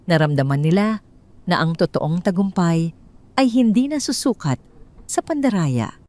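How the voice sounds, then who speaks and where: Filipino woman, storyteller, lower-pitched voice, highly varied intonation, dramatic scripted narration, measured and solemn delivery. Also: mellow.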